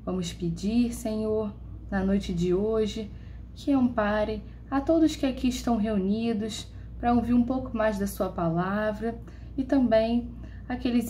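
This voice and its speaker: Female prayer leader, mellow dark tone, slow solemn devotional delivery, faint noise in the background. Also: mid-range voice. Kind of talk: reading and guided prayer.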